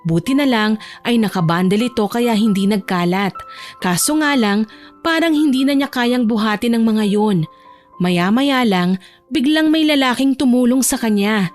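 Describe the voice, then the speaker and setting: A woman's voice: fairly high pitch, moderate pace. A woman reading scripted narration for a romance audio drama, with music playing behind her.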